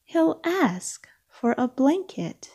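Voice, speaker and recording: A woman reading a children's storybook aloud, with deliberate, expressive phrasing for young listeners. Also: sits high. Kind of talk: read-aloud storybook narration.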